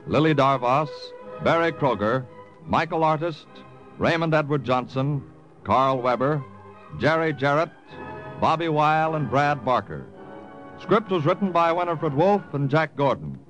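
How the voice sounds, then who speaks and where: A man reading scripted announcements for an old-time radio show in a formal, measured way. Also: fairly high pitch, expressive, a bit bright, faint music behind. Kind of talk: 1940s-50s radio credits announcing, scripted. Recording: dull lo-fi old radio recording.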